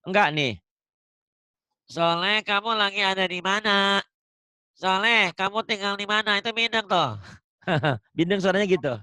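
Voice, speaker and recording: A man's voice: high voice, unhurried, pauses often, sharp tone, smooth, no echo, clean studio sound. A man teaching Quran recitation online, patiently drilling pronunciation and laughing often. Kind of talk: online tajwid lesson.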